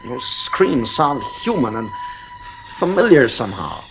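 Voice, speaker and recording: A man's voice: mid-range voice, huge pitch swings, measured pace, crowd noise. An actor playing a stern, worried middle-aged father in a radio drama, firm and exasperated. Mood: troubled. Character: stern, worried father at his wits' end.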